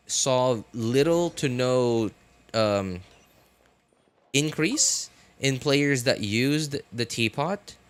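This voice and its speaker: A man's voice: medium pitch, expressive, very slow, clean tone. A man talking casually to live stream viewers while he plays, rambling and thinking aloud as he repeats himself. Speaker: live streamer, gaming content creator.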